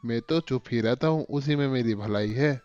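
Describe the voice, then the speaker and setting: A man's voice: mid-range voice, normal speed, darkish tone, dry recording, lo-fi sound, natural voice. A man voicing a timid, henpecked elderly owl uncle in a children's cartoon, avuncular and fretful. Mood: nervous. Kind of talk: Hindi cartoon voice acting for children.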